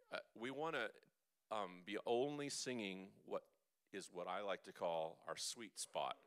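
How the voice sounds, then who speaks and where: A man's voice: on the low side, pushed and bright, clear, resonant tone. A man teaching a vocal seminar, chatty and jokey, with playful asides to the room. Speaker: vocal coach.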